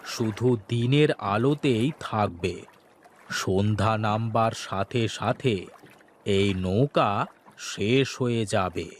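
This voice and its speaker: A solemn old sage from a cartoon, put on by a man, low and slow. He speaks calmly, as if every word were a grave pronouncement. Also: slight background noise. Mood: solemn, prophetic. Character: solemn old male holy man, calm benefactor. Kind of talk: Bengali cartoon voice acting.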